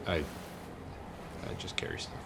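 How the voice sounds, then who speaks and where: An actor playing an awkward, reticent teenage boy guide in an audio drama, speaking haltingly. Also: very noisy, natural voice. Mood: embarrassed. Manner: halting, mumbled, low-key. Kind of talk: audio screenplay acting.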